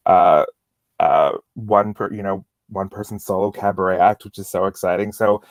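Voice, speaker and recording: A man's voice: low voice, steady tone, normal speed, no echo, clean studio sound. A man hosting a show, chatting casually and informally as he feels his way into questions. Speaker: variety show host, interviewer. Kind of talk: casual interview chat.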